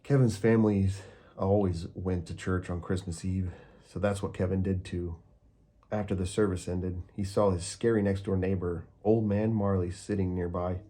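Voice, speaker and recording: A man reading a children's picture book aloud with calm, steady storytelling. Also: low voice, moderate pace. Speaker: storybook reader.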